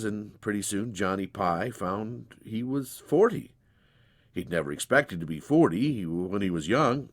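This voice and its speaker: A man narrating a literary tale as a storyteller, with an expressive, folksy, dramatized delivery. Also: mid-range voice.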